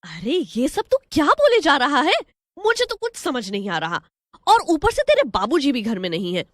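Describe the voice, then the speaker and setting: A woman's voice: high-pitched, huge pitch swings, normal speed, no room sound, clean studio sound, voice pushed up. A woman voicing a bewildered, indignant village mother scolding her grown son in a storybook tale. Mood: confused, indignant. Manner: indignant, exclaiming. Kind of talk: dramatized storybook voice.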